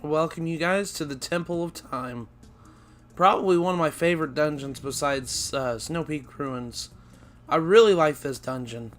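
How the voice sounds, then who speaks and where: A man hosting a video game let's play, losing his voice and sounding raspy, rambling and correcting himself as he talks. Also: fairly high pitch, normal speed. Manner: rambling, self-correcting, chatty. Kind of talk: casual gameplay commentary.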